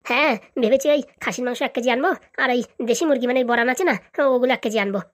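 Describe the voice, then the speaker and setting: A woman's voice: a little high, very fast, close to an everyday voice. A woman voicing a broke, pleading, grumbling husband in a comedy cartoon. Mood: hesitant, sheepish. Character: broke, wheedling husband begging his wife for money.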